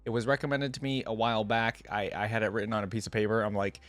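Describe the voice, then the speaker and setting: Male reaction host, fairly deep voice, dry recording, informal, thinking aloud, reading and commenting. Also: little pitch movement, fast, dry recording.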